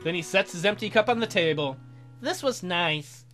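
Female let's player, wry and irreverent, animated reading of game text over background music. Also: lowish voice, normal speed. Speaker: let's play host. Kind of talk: reading a visual novel aloud with asides.

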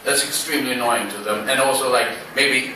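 A man's voice: medium pitch, fairly even intonation, sharp tone, slight background noise. A man in his thirties with a Swedish accent, a slam poet bantering dryly between poems with many fillers and restarts. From Sweden. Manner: dry, self-mocking, halting with many fillers. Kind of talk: stand-up style spoken word banter. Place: small club stage. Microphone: stand mic on stage, recorded from the audience. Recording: echoey club from far off.

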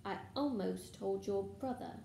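A woman's voice: mid-range voice, measured pace, vocal fry, dark tone, roomy sound. A woman voicing an indignant, bossy young schoolgirl witch, scolding and snapping in a storybook reading. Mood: snappish. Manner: hissing, snapping.

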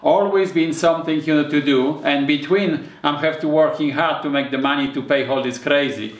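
A man's voice: on the high side, moderate pace. An artist in his sixties from Poland, telling stories in broken English in his home studio. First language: Polish. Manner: broken English, chuckling, lively storytelling. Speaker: artist, interview guest. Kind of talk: casual conversation. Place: painting studio in a home, indoors. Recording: roomy studio echo.

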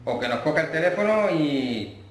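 A man's voice: on the high side, sing-song, normal speed, a little hiss or hum. A man in his thirties with a Spain Spanish accent, chatting casually to camera as a dad hosting a family vlog. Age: 30s. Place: living room sofa, indoors. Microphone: camera a short distance away. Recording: echoey living room, music behind.

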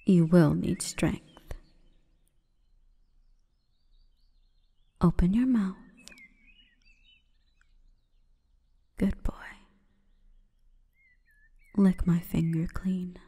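A woman voicing a seductive, solemn female plant spirit in a breathy, formal, commanding whisper for an ASMR roleplay. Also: lowish voice, steady tone, slow pace, mellow, heavily acted. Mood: softly commanding, seductive. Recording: long reverberant echo.

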